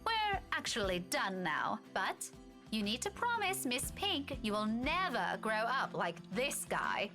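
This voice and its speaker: An actress playing a prim young schoolteacher who scolds emphatically in a visual novel game. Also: female voice, fairly high pitch, very animated, measured pace. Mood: scolding. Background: game music.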